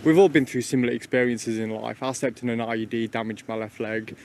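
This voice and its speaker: A veteran team member answering interview questions in a plain, conversational way. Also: male voice, mid-range voice, fairly even intonation, fast, a little mellow.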